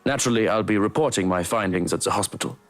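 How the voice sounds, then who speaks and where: A man's voice: lowish voice, fast talker, fairly bright tone, close to an everyday voice. An actor playing a formal, dutiful German male attendant in a visual novel game. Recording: game music behind. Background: music.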